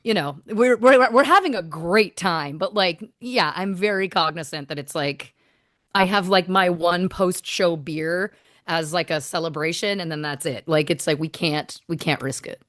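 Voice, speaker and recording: A woman musician guest, chatting in an interview and telling quick, animated stories with playful asides. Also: on the low side, dry recording, clean studio sound. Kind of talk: casual interview chat.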